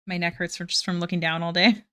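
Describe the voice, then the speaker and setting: A woman's voice: on the low side, level tone, quick pace, pushed and bright. Giggly young woman streaming her art. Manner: giggly, self-deprecating, chatting to viewers. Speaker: artist streaming live. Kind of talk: casual live-stream chat while drawing.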